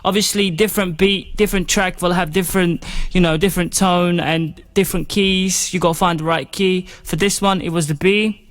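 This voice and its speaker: High-pitched man giving a casual tutorial. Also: fairly even intonation, moderate pace, few pauses, a bit bright. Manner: informal, rambling, chatty. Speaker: music production tutorial host. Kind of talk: casual step-by-step software tutorial.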